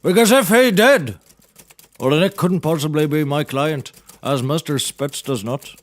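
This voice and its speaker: A blustering, folksy seaman turned defense attorney, acted by a man, in a somewhat high male register. He rambles theatrically and with misplaced confidence, as in an audio comedy. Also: measured pace, natural voice. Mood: blustering, confident. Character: bumbling, blustering old seaman turned lawyer. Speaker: defense lawyer in courtroom comedy. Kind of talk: scripted comedy audio drama acting.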